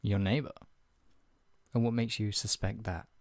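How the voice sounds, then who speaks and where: Man acting stern redcoat interrogator, low-pitched. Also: steady tone, a little slow, darkish tone, no room sound. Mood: measured, persuasive. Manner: clipped, authoritative, formally polite with condescending threat. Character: stern, menacing British redcoat soldier interrogating a colonist. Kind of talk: ASMR roleplay, one-sided scripted dialogue.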